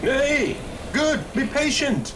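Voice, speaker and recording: A man playing a wry, good-humoured old royal counselor in a Shakespearean audio drama, speaking in a measured, theatrical way. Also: very high-pitched, animated, full character voice. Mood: placating. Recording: loud noise, online remote recording.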